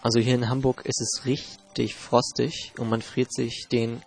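A German man with a stuffy head cold hosts a podcast in a loose, joking way, filling gaps with little asides. His voice sounds husky and a bit raspy. Soft music plays under his talk. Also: mid-range voice, level tone, a little slow. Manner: chatty, jokey, loose fillers. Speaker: podcast host. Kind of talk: casual podcast presenting. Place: home in Hamburg.

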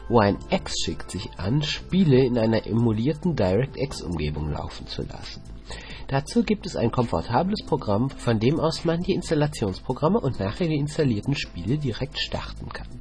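A man reading a scripted software review for a podcast, speaking to listeners informally. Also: mid-range voice, very animated, moderate pace, faint music behind. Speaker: podcast contributor.

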